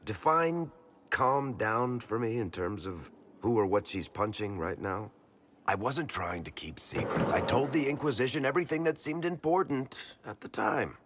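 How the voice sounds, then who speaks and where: An actor playing a wry, plain-spoken rogue with a guarded soft heart in a fantasy game. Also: male voice, medium pitch, lively intonation, moderate pace, lightly acted. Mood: wry, defensive. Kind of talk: dramatic video game cutscene acting. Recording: faint background ambience noise.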